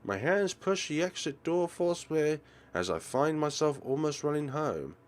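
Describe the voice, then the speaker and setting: A man hosting a let's play, reading visual novel narration aloud in an expressive way. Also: a little high, normal speed.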